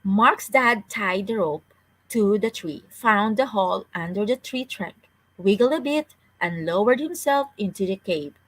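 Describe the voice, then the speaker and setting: A woman's voice: medium pitch, expressive, unhurried, no echo, low-quality recording. A woman reading a children's story aloud as a storyteller, with careful delivery and the odd stumble over words.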